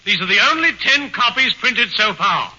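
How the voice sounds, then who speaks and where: Casting: a jittery publisher, high lively voice, vintage broadcast. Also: male voice, normal speed, heavily acted. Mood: anxious. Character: anxious male publisher, fussy. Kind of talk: 1940s radio comedy acting. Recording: muffled 1940 radio broadcast, faint hiss.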